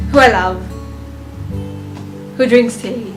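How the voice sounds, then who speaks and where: A woman giving a casual, unscripted fan review of a ranked list, halting and thinking aloud, often chuckling. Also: a little high, a bit bright.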